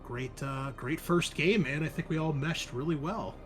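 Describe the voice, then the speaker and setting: A man's voice: fairly high pitch, moderate pace, music in the background. A man chatting casually as game master and host after a tabletop game, in an informal, rambling, sometimes sweary way.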